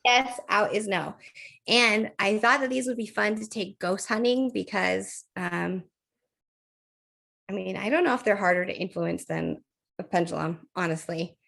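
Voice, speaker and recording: Woman, podcast co-host, casual and talkative, laughs a lot, slight croak. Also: medium pitch, a little slow, fairly bright tone. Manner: chatty, laughs often, thinks aloud with fillers. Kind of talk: casual chat.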